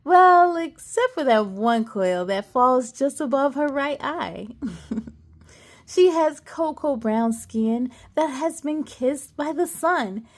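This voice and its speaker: A woman reading a children's picture book aloud as a storyteller, playful and animated, with chatty asides to young listeners. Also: high-pitched, slow pace.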